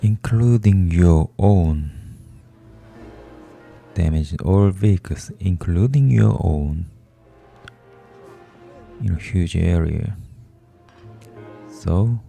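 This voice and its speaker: A man's voice: deep voice, extremely slow, creaky, long pauses, mellow, soft background music. A man giving gameplay commentary as he plays a video game.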